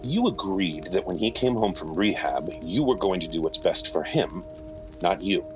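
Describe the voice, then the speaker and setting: An actor playing a patient, protective middle-aged father in an audio drama, speaking in a measured, steady way. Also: low voice, over music. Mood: firm, patient.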